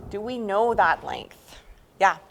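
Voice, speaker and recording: A woman's voice: medium pitch, lively intonation, slow pace, vocal fry, slight background noise. A woman teaching a math class, explaining step by step and often checking understanding with questions.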